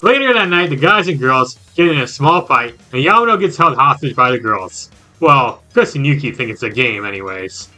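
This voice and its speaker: Lively, bright-voiced man recapping a game. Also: medium pitch, moderate pace, soft background music, poor audio. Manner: jokey, slangy, exaggerated reactions. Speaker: video game reviewer. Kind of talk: casual scripted recap narration with jokes.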